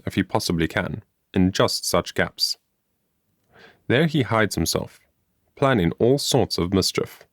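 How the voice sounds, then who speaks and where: A man reading a moral story as an audiobook narrator, in a measured, scripted storytelling manner. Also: fairly low pitch, gravelly, no room sound, studio quality.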